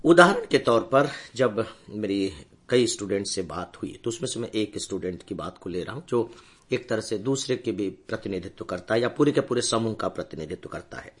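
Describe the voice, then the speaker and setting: Hoarse older man, measured talk. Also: lowish voice, expressive. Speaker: educator addressing students. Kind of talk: motivational talk to camera.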